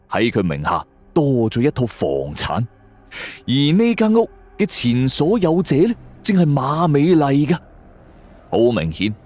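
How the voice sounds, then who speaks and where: A man's voice: mid-range voice, darkish tone, no echo. A man with a Hong Kong Cantonese accent narrating a detective story dramatically in the first person. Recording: background music under voice. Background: music.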